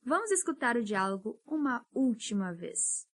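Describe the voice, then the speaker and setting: A woman's voice: on the high side, sing-song. Encouraging woman teaching English. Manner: patient, encouraging, drills words slowly. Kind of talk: podcast pronunciation lesson.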